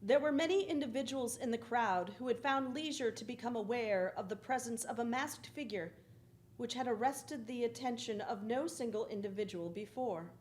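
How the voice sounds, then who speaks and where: A young woman performer giving a theatrical, measured dramatic reading of gothic literature, with deliberate phrasing. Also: fairly high pitch. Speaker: stage performer. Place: theatre stage, standing at a lectern.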